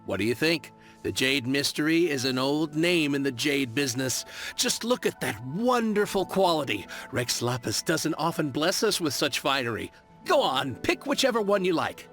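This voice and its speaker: An actor playing an eager, flattering merchant in a video game, with ingratiating, theatrical sales patter. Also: male voice, fairly high pitch, moderate pace, soft background music. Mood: obliging. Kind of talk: English-dubbed video game acting.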